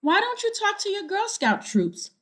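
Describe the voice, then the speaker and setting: A woman's voice: extremely high pitch, sing-song, moderate pace, fairly bright tone, voice pushed up, heavily acted. A woman reading the part of a reassuring, supportive mother in a children's storybook.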